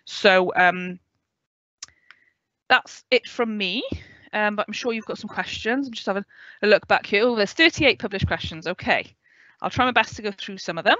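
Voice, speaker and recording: A woman's voice: medium pitch, normal speed, bright, forceful tone, dry recording. A woman in her thirties from West Wales with a Welsh accent, giving an online orientation talk and answering questions, often checking in with "okay?". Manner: clear, friendly explaining, checks in with "okay?". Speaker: student adviser. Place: home room with a window. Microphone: headset. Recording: dry, dull video call audio.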